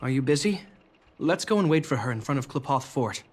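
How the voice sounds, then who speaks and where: An actor playing a dutiful, worried military officer in a video game, speaking in a formal, measured way. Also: male voice, mid-range voice, lively intonation, a little hiss or hum, close to an everyday voice. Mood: worried, reflective.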